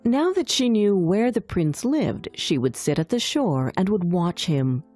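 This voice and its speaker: Mellow, expressive female storyteller. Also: medium pitch, measured pace, soft background music. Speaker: narrator. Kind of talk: scripted children's fairy-tale storytelling.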